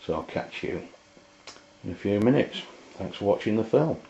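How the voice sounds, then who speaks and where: A man giving a casual, halting, unscripted product review as he goes. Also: fairly low pitch, expressive, warm tone, slight background noise. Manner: halting, hesitant delivery. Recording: dull lo-fi amateur video sound.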